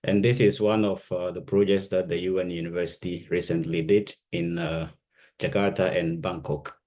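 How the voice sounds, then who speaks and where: Man in his thirties from Ghana, very deep voice, conference researcher, measured delivery. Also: fairly even intonation, darkish tone. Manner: measured, fills pauses with "uh". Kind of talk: conference presentation.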